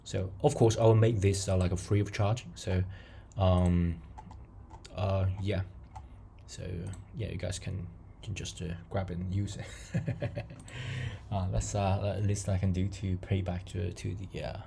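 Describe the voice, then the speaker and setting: Gravelly, mellow-voiced man teaching coding. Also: sits low, little pitch movement, a little hiss or hum. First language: not English. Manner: halting, many fillers and restarts, friendly; chuckles often. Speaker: coding tutorial host. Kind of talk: casual screen-recorded coding tutorial.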